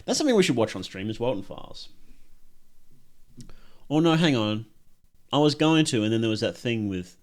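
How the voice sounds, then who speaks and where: A man's voice: mid-range voice, very animated, normal speed, pauses often, darkish tone. A man giving casual live-stream commentary as he reacts to videos at home, rambling and self-mocking and laughing at his own jokes.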